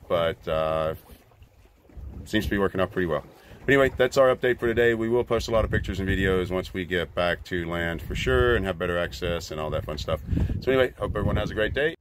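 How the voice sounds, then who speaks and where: A man in his forties giving casual, rambling travel updates from a small boat, filming himself on a phone held at arm's length. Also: deep voice. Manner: relaxed, rambling, with many fillers. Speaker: vlogger on a boat trip. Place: outdoors on a small boat at sea. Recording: wind and engine noise outdoors.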